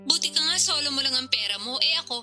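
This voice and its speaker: A nagging older sister in a radio drama. Her voice is high and piercingly bright, full of sarcasm and pushy needling. Clear music plays behind her. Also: expressive, quick pace, exaggerated voice. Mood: resentful, complaining.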